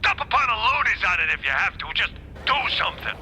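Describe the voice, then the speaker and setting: A man's voice: sits high, big pitch swings, fast, pushed and bright, slight background noise, heavily acted. A man playing a ruthless, boastful, scheming politician villain in a 1930s noir cartoon game. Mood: ranting. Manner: boastful, brash. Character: scheming, ruthless, fast-mouthed corrupt politician mouse villain.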